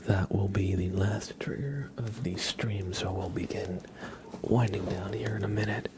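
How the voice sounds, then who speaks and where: A man hosting an ASMR livestream, chatting casually in a hushed, breathy whisper with an unhurried, relaxed manner. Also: background noise. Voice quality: breathy whisper with low creaky undertone.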